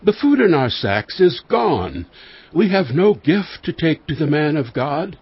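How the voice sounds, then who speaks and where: A man's voice: a little high, very animated, a little slow, slightly rough, no room sound, exaggerated voice. An actor playing a humble, earnest young Israelite man in a dramatized audio Bible, with a questioning manner. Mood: worried. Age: young adult. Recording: slightly dull sound.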